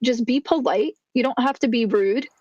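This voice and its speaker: A woman's voice: fairly high pitch, normal speed, darkish tone, slightly rough, no echo, lo-fi sound. A woman speaking outspokenly in a casual group livestream chat, calling people out. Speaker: livestream co-host.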